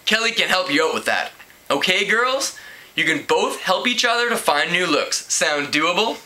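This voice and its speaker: A man's voice: high-pitched, normal speed, pitched above the performer's own voice, exaggerated voice. A man voicing an upbeat, encouraging woman teacher as he reads a storybook aloud. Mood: chirpy, encouraging. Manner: enthusiastic, encouraging, calm. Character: upbeat, chirpy woman home economics teacher.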